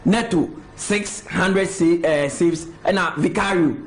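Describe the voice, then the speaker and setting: Pundit guy reading out keeper stats, highish voice, kinda halting. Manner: reads lists of figures, halting and slow. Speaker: football pundit. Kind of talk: reading out stats in studio debate. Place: television studio.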